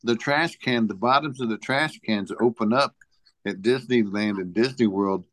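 A man co-hosting a casual talk show, reading out a list in a halting way and searching for his place. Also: mid-range voice, fairly bright tone, no room sound. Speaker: podcast co-host presenting a top ten list.

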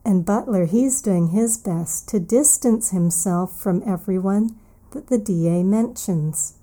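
A woman hosting an English lesson, recapping a story and then explaining vocabulary words slowly and carefully, repeating each key word. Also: medium pitch, warm tone. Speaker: English teacher and podcast host.

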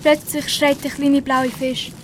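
A woman's voice: sits high, little pitch movement, measured pace, slight background noise. A teenage girl reading scripted story narration for an amateur radio play.

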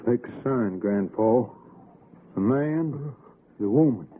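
A man's voice: medium pitch, animated, takes it slowly, slight background noise. An actor playing a grave, menacing hill man with an Appalachian accent, folksy and ominous, in a 1960s radio suspense drama. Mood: menacing, urgent. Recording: narrow, lo-fi vintage radio recording.

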